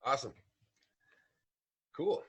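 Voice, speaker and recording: A man hosting a casual interview who keeps the talk relaxed and often drops in short affirmations. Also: slow pace. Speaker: interview host.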